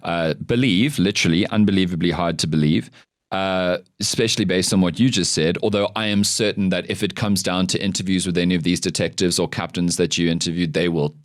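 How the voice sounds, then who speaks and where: A man's voice: sits low, fairly even intonation, brisk, no echo, clean studio sound. A man, hosting an interview in an earnest, emphatic way and repeating words for stress. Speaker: podcast host.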